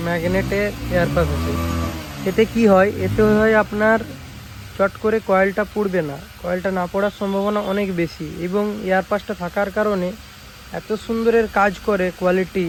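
A man with a West Bengal Bengali accent casually presenting products in a vlog, with emphatic, repetitive sales talk and frequent check-in tags, recorded on a phone. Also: high-pitched. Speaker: speaker repair shop owner and video host. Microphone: phone camera. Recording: noisy, engine-like hum and hiss.

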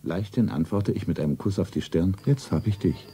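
An actor playing a wealthy middle-aged lover, tender, solemn and secretive, in a radio play. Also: male voice, deep voice, expressive, fairly quick, dark tone. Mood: evasive. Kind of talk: stylised radio play acting, narrating his own scene. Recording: 1970s radio play, music behind.

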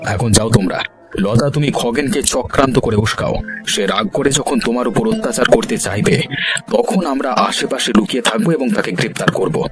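A man's voice: mid-range voice, moderate pace, music in the background, lightly acted. A man voicing a stern, authoritative village police officer in a cartoon. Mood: official, conspiring. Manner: authoritative, giving orders. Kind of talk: cartoon voice acting.

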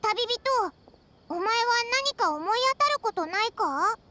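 Casting: tiny floating fairy mascot for an anime game, very high squeaky register, animated, rough-and-ready childlike chatter. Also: female voice, unhurried, very noisy, exaggerated voice. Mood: excited, eager. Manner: tomboyish, informal, exclamatory. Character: small floating fairy companion, childlike, chirpy, rough-talking. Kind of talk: Japanese anime game dub.

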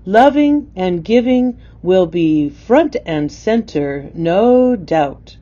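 A woman's voice: fairly low pitch, animated, very slow, mellow, highs cut off. A woman reading a rhyming poem aloud. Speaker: poem reader.

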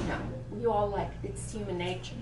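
A woman's voice: medium pitch, steady tone, a little fast. An older woman with a Brooklyn accent presenting an image workshop to a small group, emphatic and punchy, firing direct questions at her audience. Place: boutique shop floor, indoors. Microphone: far camera, no visible mic. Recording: roomy, distant camera audio with background noise.

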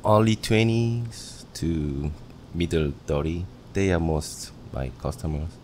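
A man's voice: takes it slowly, a little mellow. A man who is a native Korean speaker, a bartender answering casually as a podcast guest. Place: wood-panelled bar lounge, indoors. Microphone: close podcast microphone on stand. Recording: low rumble and faint room noise.

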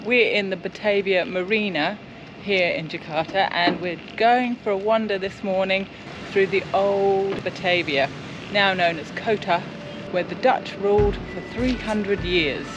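A woman's voice: mid-range voice, unhurried, highs cut off. A woman in her forties giving casual travel commentary outdoors as a vlogger. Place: outdoors on streets and at marina. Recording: noisy outdoor recording with street and vehicle sounds.

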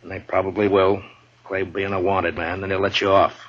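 A man's voice: sits low, little pitch movement, a little fast. An American actor playing a stern, weary frontier lawman in a 1950s radio western, with a commanding manner. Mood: stern, exasperated. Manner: commanding, hard-bitten. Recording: dull vintage 1950s radio recording.

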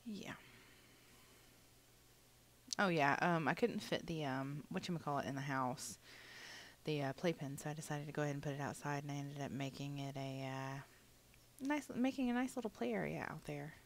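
A woman's voice: low-pitched, normal speed, long pauses, slight background noise. A young adult woman giving a rambling, casual voiceover for a building game, a little sniffly, with lots of fillers. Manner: rambling, chatty, many fillers. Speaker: gaming channel host.

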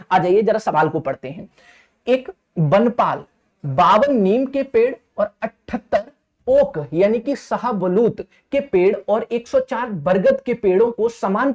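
Male teacher in his thirties, high voice, instructive, repetitive, friendly address to students, step-by-step lesson. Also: darkish tone. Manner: instructive, repetitive, often addresses listeners as friends and checks understanding. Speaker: maths teacher. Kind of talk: step-by-step exam maths lesson. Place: indoor teaching studio at a digital board.

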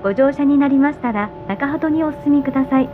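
A woman's voice: high voice, quick pace, music in the background. A woman making polite, formal scripted subway announcements, enunciating station names carefully. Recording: dull in-car speaker recording, loud train noise. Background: running subway train noise.

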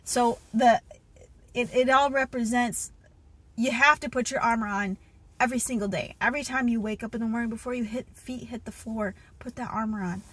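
A woman's voice: a little high, fairly even intonation, a little fast, a bit bright, slightly rough. A woman in her thirties earnestly recounting a spiritual dream, with many fillers and restarts, filmed on a phone at arm's length in a car. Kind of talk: casual testimony and Bible reading. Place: inside a parked car. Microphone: phone held at arm's length.

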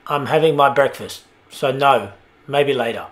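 Storybook casting: grumpy bird dad, played by a woman, very low, stuffy, curt. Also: measured pace. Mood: cross. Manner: gruff, dismissive. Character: cross, stuffy father brolga, brushing off his child. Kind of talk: storybook character voice in a read-aloud.

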